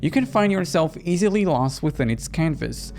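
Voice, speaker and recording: A man's voice: fairly high pitch, lively intonation. Man acting a courtly, eerie art dealer. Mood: mysterious, reverent. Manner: formal, slow, theatrical. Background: music behind the voice.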